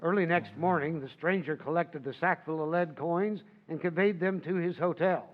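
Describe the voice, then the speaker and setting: A man playing an older male author who narrates his own tale in an old-time radio play, with a measured, wry manner. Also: a little high, natural voice. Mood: steady. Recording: tinny phone-like sound.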